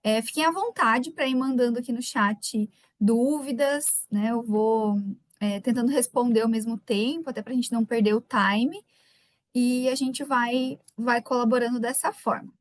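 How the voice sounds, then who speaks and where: A woman from southern Brazil with a faint Gaúcho lilt speaks with a slightly high, friendly voice. She runs a live online talk in a casual, chatty way. The sound is dry and close, as from a computer microphone. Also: moderate pace, dry recording. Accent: light Gaúcho Brazilian Portuguese. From Rio Grande do Sul, Brazil. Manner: chatty, warm greetings to viewers by name. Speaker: webinar speaker.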